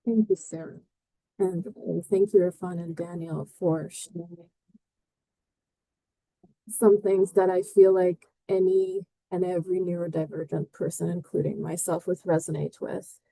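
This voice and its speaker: A woman's voice: lowish voice, fairly even intonation, measured pace, vocal fry, warm tone, dry recording, rough recording. A woman from Bangladesh moderating a panel discussion, hesitant and full of fillers.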